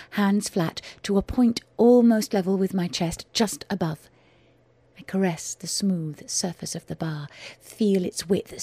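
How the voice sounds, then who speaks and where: A woman's voice: lowish voice, breathy edge, no room sound. Intense woman narrating, dry and close. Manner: intense, measured, drops to hushed whispers. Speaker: writer and performer. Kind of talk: first-person audio drama narration.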